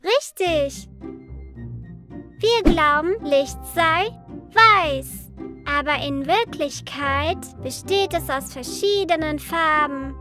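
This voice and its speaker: High-pitched cheerful little cartoon girl. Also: slow, pushed and bright, exaggerated voice. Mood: instructive. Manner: clear, simple, instructive. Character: cheerful curious little cartoon girl, helpful young teacher. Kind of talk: children's educational cartoon dialogue. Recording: music behind the voice.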